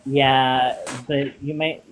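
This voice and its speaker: A man hosts a casual live chat, speaking very slowly with frequent pauses and filler words. His voice is mid-pitched for a man, with a faint noise floor under it. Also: little pitch movement. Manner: slow, halting, many ums. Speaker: live stream host.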